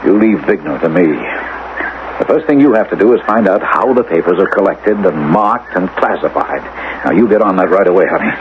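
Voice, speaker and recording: Urgent doctor, tinny old radio. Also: male voice, deep voice, animated, brisk. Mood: decisive. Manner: urgent, persuasive, reassuring. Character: determined doctor and father scheming to save his son. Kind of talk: old-time radio drama acting. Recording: tinny, band-limited, hissy old radio recording.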